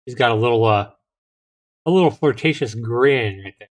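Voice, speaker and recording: A man's voice: mid-range voice, moderate pace. A middle-aged man hosting a livestream, reading a visual novel aloud with casual asides to viewers and occasional stumbles over the text. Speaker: livestream host.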